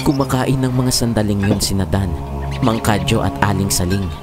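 A man's voice: on the low side, normal speed. A man narrating a scripted horror story in a dramatic style. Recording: loud music bed behind voice.

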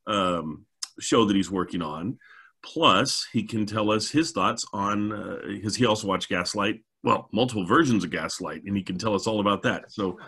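A middle-aged man hosting a podcast on a video call, chatting casually in a rambling, halting way. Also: lowish voice, fairly bright tone, slightly rough. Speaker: podcast host.

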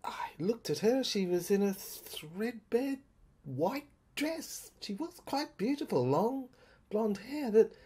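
Haunted, slow, halting narrator, acted by a woman. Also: on the high side, big pitch swings, dark tone, slightly rough, heavily acted. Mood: entranced, haunted. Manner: halting, stammering, repeating words. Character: haunted, agitated narrator recalling an uncanny asylum. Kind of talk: dramatic monologue in an audio play.

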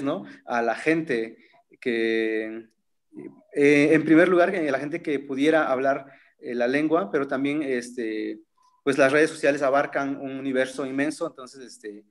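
A man in his thirties, a native Zapotec speaker, talking thoughtfully in a seminar over a laptop video call, with many fillers and restarts. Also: medium pitch. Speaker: seminar participant. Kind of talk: reflective conversational talk. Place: room at home with framed pictures. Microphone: laptop webcam on a video call.

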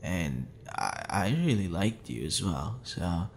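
A man's voice: low-pitched, very animated, a little hiss or hum, heavily acted. A man playing a drunk, flustered young man with a tender streak, stammering and rambling in an intimate ASMR roleplay. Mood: nervous, rambling. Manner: stammering, halting, tipsy rambling with breathy sighs.

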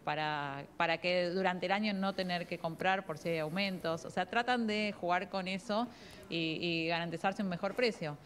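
A woman's voice: on the low side, normal speed, a bit bright. A woman in her forties with a Rioplatense accent, a shop owner speaking by phone from a busy clothing store, hesitant with many fillers. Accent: Rioplatense Spanish. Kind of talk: live TV interview by phone. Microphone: phone held to ear.

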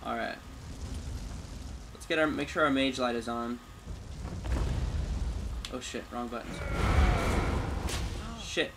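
Male gamer, medium pitch, informal walkthrough commentary over audible game sound. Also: slow pace, gravelly, pauses often, background noise. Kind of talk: casual gameplay commentary. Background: fantasy game combat sounds.

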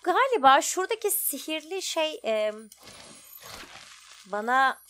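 A woman's voice: sits high, sing-song, unhurried, a bit bright, slight background noise. A woman giving casual, chatty let's play commentary, thinking aloud as she goes. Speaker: gaming video host.

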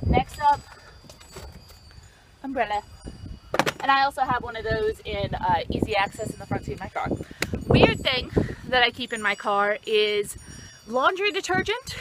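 A woman's voice: high-pitched, background noise. A young woman vlogging outdoors beside her car's open trunk, chatting casually with many ums and restarts, recorded on a camera close in front. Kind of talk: casual show-and-tell vlog. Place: outdoors by an open car trunk on a sunny street.

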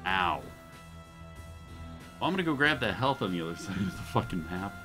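A man's voice: low-pitched, sing-song, a little fast. A man in his thirties streaming live gameplay commentary, swearing and venting frustration at the game. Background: game music.

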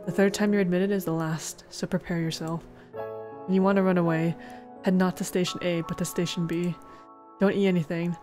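Woman reading as a resigned middle-aged lady patient, lowish voice. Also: little pitch movement, fairly quick, close to an everyday voice. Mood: instructing. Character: middle-aged female hospital patient, resigned, quietly instructing a newcomer. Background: game music.

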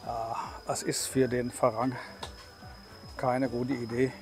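A man's voice: medium pitch, level tone, slow pace. Older man vlogging casually over music. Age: 60s. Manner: chatty, explanatory. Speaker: vlog host. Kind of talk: casual travel vlog narration.

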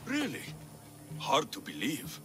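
A wry, blunt mercenary adventurer in an ancient Greek video game. His pitch is very mobile, carrying dry humour and a readiness to help. Music plays clearly behind the voice. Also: huge pitch swings, takes it slowly. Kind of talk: video game character acting.

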